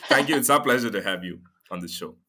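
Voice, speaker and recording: A man's voice: lowish voice, expressive, fast, bright, forceful tone, husky. A man hosting a podcast, reading a guest introduction and then asking loose, rambling questions with fillers. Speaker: podcast host. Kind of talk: interview hosting.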